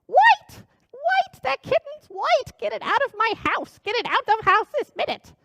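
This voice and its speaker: Woman voicing a shrieking old witch, shrill, high, dry close sound. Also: very animated, normal speed, vocal fry, a bit bright, no room sound, full character voice. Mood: furious. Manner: shrieking, furious. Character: shrill bad-tempered old female witch.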